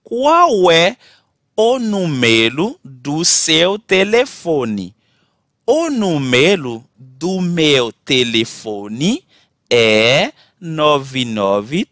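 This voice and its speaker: A man teaching a language class, saying model phrases slowly and clearly for learners to repeat, then switching to quick explanations. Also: on the high side, big pitch swings, a bit bright, no echo, studio quality. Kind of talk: repeat-after-me language drill.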